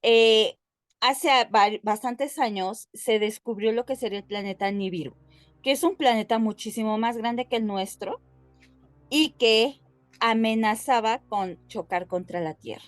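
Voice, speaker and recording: A woman with a Mexican Spanish accent co-hosting a podcast, explaining things casually and haltingly as she addresses her listeners. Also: fairly high pitch, no echo, faint music behind. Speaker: podcast co-host.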